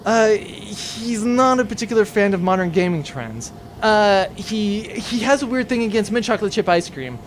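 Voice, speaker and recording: A man's voice: high voice, lively intonation, normal speed, hardly any pauses, a little hiss or hum. Man as a chatty, flippant captive spaceman. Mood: flippant, nervous. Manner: rambling, casual, snarky. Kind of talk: sci-fi audio drama acting.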